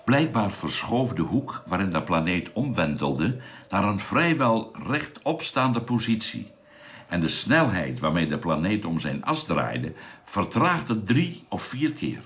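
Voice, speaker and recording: A man playing a knowledgeable space crewman in a science fiction radio drama, speaking in a measured, explanatory way over background noise. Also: low-pitched, very animated, fairly bright tone, exaggerated voice.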